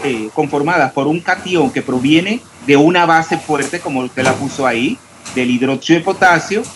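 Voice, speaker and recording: A man from Panama, teaching a chemistry class online and questioning students. He talks about clear diction and sounds out every s. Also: a little high, a bit bright, a little hiss or hum.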